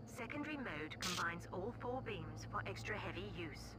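A woman's voice: medium pitch, expressive, normal speed, heavy background noise, natural voice. A woman voicing an impersonal station computer in a sci-fi game, giving formal, precise announcements. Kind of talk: scripted announcement. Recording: game music over the voice.

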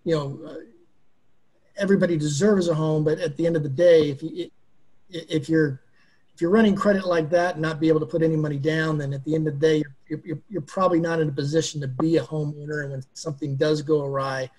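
A middle-aged man with a slightly higher, husky voice, speaking over a video call. He is frank and conversational and sometimes repeats words as he talks. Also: steady tone, quick pace, darkish tone. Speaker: guest with legal and mortgage brokerage background. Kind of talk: panel discussion on a video call.

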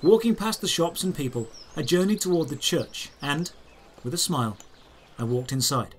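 Man, audio drama narrator, slightly high pitch, scripted first-person storytelling, measured and deliberate phrasing. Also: lively intonation, slight background noise.

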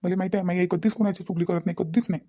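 A woman's voice: lowish voice, fairly even intonation, racing, warm tone, slightly rough. A woman voicing a young husband in a cartoon family drama, firm and disbelieving. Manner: firm, repeats denials. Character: mother-defending young husband, insistent and disbelieving. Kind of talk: Marathi cartoon family comedy-drama acting.

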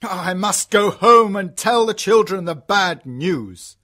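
A woman voicing a kindly, earnest middle-aged father in a storybook, with a husky voice. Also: mid-range voice, animated, takes it slowly, a bit bright. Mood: disappointed. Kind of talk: graded storybook audiobook character voice.

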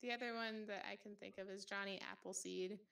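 Halting woman with a creaky voice. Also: mid-range voice, fairly even intonation, a bit bright, far from the mic. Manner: halting, thinking aloud. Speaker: podcast co-host. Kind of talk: casual podcast discussion of folktales. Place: remote online group call.

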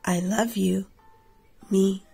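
A woman in her thirties reading a picture book aloud expressively for children, with a slightly husky, creaky voice. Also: mid-range voice, little pitch movement, takes it slowly, dark tone, soft background music. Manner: addresses children directly. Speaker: read-aloud storyteller for children.

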